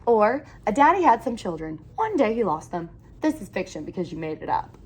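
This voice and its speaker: Lively woman reading a picture book aloud. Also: medium pitch, husky. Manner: animated, deliberate storytelling with emphatic phrasing. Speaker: read-aloud storyteller. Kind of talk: expressive picture-book read-aloud for children.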